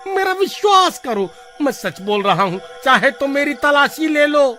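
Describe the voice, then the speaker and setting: A man voicing an excitable, whiny village man in a horror cartoon. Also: very high-pitched, moderate pace, exaggerated voice. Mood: pleading, frightened. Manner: whiny, exclaiming, agitated. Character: panicky, irritable village man, pleading and excitable. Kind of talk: animated story acting. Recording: faint music behind the voice.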